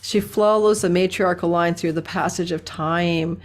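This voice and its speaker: A hoarse woman host giving a casual, digressive horoscope reading, recorded indoors at a relative's house and laughing at herself now and then. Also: on the low side, steady tone, moderate pace. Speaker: astrologer host.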